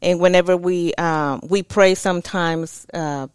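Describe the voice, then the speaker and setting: A woman's voice: on the low side, steady tone, unhurried, smooth, dry recording, studio quality. A woman from Mexico, a Spanish speaker, talking as a guest on a podcast in a chatty way with frequent fillers. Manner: chatty, laughs often, many fillers. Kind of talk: casual podcast interview.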